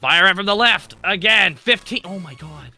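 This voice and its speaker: An excitable man with a very bright, sharp, fairly high voice plays a tabletop game. His casual talk swings in pitch, and music plays faintly behind him. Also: moderate pace. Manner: excitable, exclamatory.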